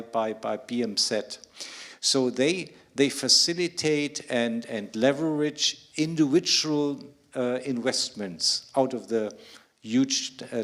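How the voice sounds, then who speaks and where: Man, panelist, slow unhurried delivery, hesitant, many restarts. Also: mid-range voice, a bit bright. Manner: halting, many restarts and fillers. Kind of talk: panel discussion answer.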